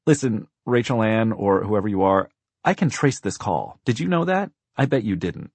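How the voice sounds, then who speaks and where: Adult female dispatcher, read by a man, slightly raised pitch, dismissive, official, audiobook character. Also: animated, a little fast, gravelly, darkish tone, no room sound. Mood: scolding. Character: dismissive, amused adult woman emergency operator.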